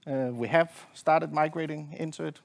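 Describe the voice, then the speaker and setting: A middle-aged man giving a technical presentation from a conference stage in a halting, hesitant way. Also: on the high side, fairly even intonation, vocal fry. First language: not Swedish. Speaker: conference presenter, network engineer. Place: conference stage at a lectern, indoors.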